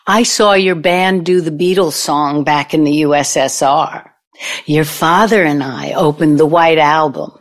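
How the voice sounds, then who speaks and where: A woman's voice: low voice, a little slow. A woman acting in an audio play as a brazen, needling older ex-girlfriend out for revenge, blunt and theatrical. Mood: brazen, reminiscing.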